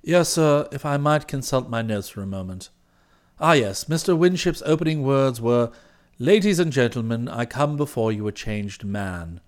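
Man voicing a very low, unflappable English valet. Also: animated, lightly acted. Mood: calm, deferential. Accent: upper-class British English. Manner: formal, measured, discreet, precise wording. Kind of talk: audiobook character voice.

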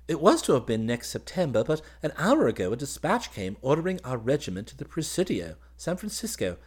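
A man's voice: a little high, big pitch swings, a little fast, breathy edge, pitched above the performer's own voice. A man voicing a boyish, happy young army officer in a story, with eager, exclamatory chatter. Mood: happy, excited. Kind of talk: dramatised audiobook reading.

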